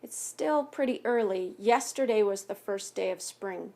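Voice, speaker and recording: A woman reading a picture book aloud to young pupils as a teacher, expressively, pausing to ask questions and explain things simply. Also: mid-range voice, creaky, mellow. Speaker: elementary school teacher reading aloud to students.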